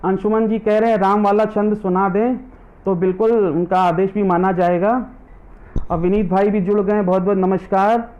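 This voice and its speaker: Brief: a high-voiced man, a poet and teacher, reading humorous couplets live and greeting viewers between them. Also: little pitch movement, normal speed, a little mellow, dull audio. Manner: repeats each verse line, chants devotional verses. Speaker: government teacher. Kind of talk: live online poetry recitation.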